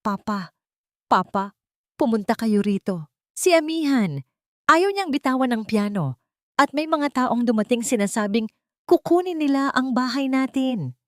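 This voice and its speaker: A woman's voice: a little high, animated, a little slow, pauses often, no room sound, studio quality, pitched above the performer's own voice. A woman with a Filipino accent voicing a frightened, pleading young boy in an audiobook drama. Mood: panicked. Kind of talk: audiobook character dialogue.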